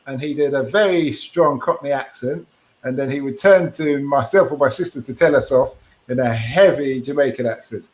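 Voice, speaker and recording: A man's voice: mid-range voice, normal speed, a little mellow, a little hiss or hum, low-quality recording. A man co-hosting a podcast, chatting casually and thoughtfully over a video call, often restarting his phrases. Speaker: podcast co-host.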